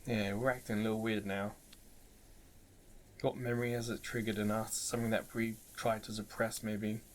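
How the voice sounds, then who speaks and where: A man's voice: on the low side, flat delivery, normal speed, a little hiss or hum. A man giving casual let's play commentary on a visual novel, musing aloud and often asking questions as he goes. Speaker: gaming video host. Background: game music with mallet percussion.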